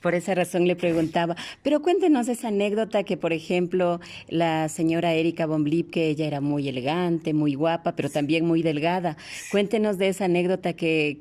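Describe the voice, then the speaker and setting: Female interviewer, soft-edged dark tone, slightly low pitch, conversational delivery. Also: fairly even intonation, moderate pace.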